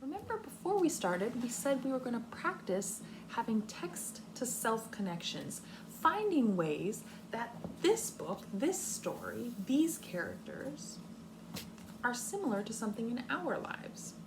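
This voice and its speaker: A woman reads aloud to young children as their teacher. Her voice is fairly high and swings up and down with plenty of expression. She phrases deliberately and invites listeners to think, over clearly audible noise. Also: big pitch swings. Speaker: kindergarten teacher reading aloud.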